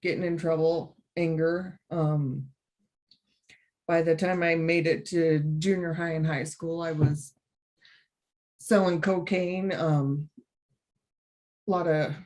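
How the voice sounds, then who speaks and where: A young woman from Utah, a podcast guest, telling her personal story earnestly and emotionally, with many pauses. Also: deep voice, fairly even intonation, darkish tone.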